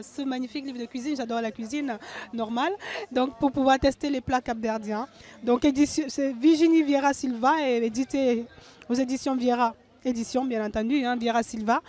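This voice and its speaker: A young woman hosts a web TV interview at an outdoor festival. Her voice sits high, and she talks in an upbeat, chatty way. She speaks into a handheld mic. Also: darkish tone. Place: outdoor festival under a tent.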